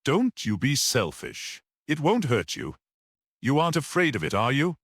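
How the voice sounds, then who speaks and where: A man's voice: mid-range voice, very animated, normal speed, fairly bright tone, dry recording, clean studio sound, voice pushed up. A man voicing a brash, boastful young motorist in an audiobook, exclaiming and insisting eagerly. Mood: buoyant coaxing.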